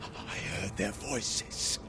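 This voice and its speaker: A man acting a haunted, dying scout in a video game, weak and in pain as he gives a warning. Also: extremely slow. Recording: loud ambient noise behind.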